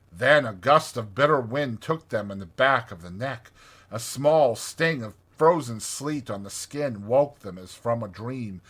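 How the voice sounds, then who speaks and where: A man narrating a classic storybook in an expressive, dramatized reading with deliberate phrasing. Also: medium pitch, slightly rough. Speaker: audiobook narrator.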